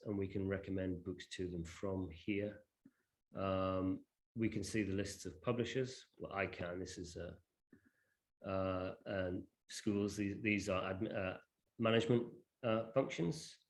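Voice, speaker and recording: A man presenting an informal live demonstration of educational software, with a halting, hesitant delivery. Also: low voice.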